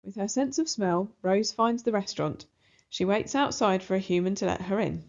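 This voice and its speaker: A woman's voice: medium pitch, expressive, normal speed, gravelly. Woman reading a children's story, slightly muffled. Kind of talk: expressive storybook read-aloud. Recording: slightly dull, muffled recording.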